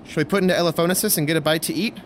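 A man's voice: fairly high pitch, very fast, slight background noise, close to an everyday voice. An actor playing an earnest young Roman nobleman and officer in an audio drama, speaking with formal diction. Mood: commanding, cheerful. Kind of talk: full-cast audio drama acting.

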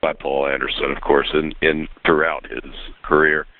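A man guest in a book discussion, searching for his words slowly. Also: a bass voice, flat delivery. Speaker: guest, author. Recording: dry tinny phone line.

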